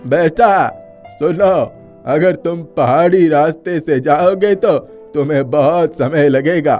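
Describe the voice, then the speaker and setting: A man voicing a kindly old mountain hermit in a cartoon fairy tale, speaking in a measured, fatherly way. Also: a little high, a little mellow.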